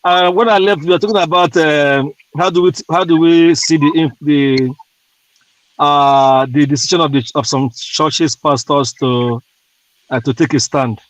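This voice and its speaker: A man's voice: fairly high pitch, steady tone, unhurried, no echo, muffled recording. A man joining a call, easygoing and chatty. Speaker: panelist.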